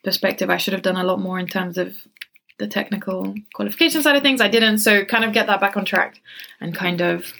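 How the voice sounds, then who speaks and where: Young woman, gravelly vocal fry, laid-back podcast co-host, easy laughter, lots of "um"s. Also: mid-range voice, fairly bright tone, a little hiss or hum. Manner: laid-back, laughs easily, many fillers. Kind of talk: casual chat.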